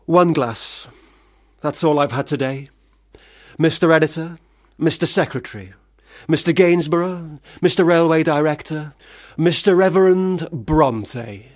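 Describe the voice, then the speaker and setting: An actor in a radio play, a man, playing a failed, self-pitying young gentleman writer with formal, wordy diction. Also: fairly high pitch, a little slow, no room sound, clean studio sound, close to an everyday voice. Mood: pompous, morose. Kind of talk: radio play monologue and dialogue.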